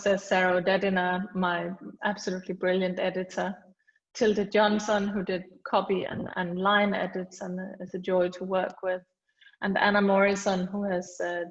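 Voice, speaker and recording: Danish woman author on a video call; steady monotone, lots of ums, muffled sound. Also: medium pitch. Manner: hesitant with many fillers and restarts. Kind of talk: reading aloud then thanking people at an online book launch. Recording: dull lo-fi video call audio.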